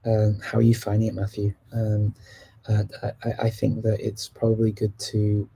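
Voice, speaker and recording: A man's voice: on the low side, moderate pace, a little hiss or hum. A man hosting a language-learning livestream, chatting casually as he answers viewers' questions. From United Kingdom. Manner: relaxed and chatty, answering questions as they come in.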